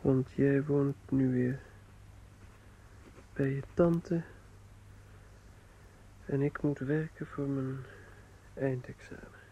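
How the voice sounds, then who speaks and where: Polite young student in a radio play, very soft dark tone, takes his time, bit of hiss. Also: medium pitch, little pitch movement, creaky, lo-fi sound. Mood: wistful. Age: young adult. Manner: polite, measured, earnest. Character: earnest, polite young male student, devoted and concerned. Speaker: actor. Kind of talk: radio drama acting.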